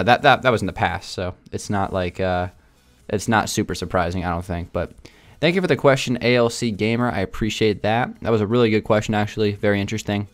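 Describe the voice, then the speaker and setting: A man's voice: on the low side, clear, resonant tone. A man chatting casually to his viewers in a gaming video, rambling a little and often repeating himself. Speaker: video game YouTuber.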